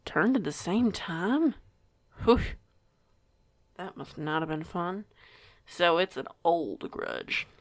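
Woman playing a teenage werewolf girl, lowish pitch, dry close sound, rambling, curious, bookish, audio roleplay. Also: lively intonation, slow, pauses often, dry recording. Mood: nosy, eager. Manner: chatty, rambling, hesitant, self-correcting. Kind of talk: audio roleplay acting, casual conversation.

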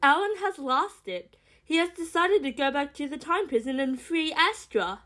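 An excitable young woman in an audio drama, played by an actress, is brisk and blunt with her friends. Her voice is high. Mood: alarmed, blunt.

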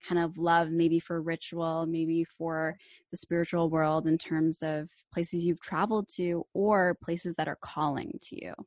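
Flat, low-voiced woman host, dry sound. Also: dry recording. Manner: slow, searching questions with fillers. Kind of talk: interview questions.

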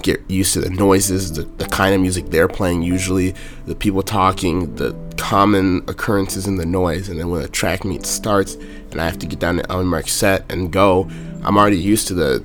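Young man, raspy, monotone, sore throat, very deep voice, casual vlog rant. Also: moderate pace, music in the background. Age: young adult. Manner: talks in loose runs with fillers. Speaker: vlogger.

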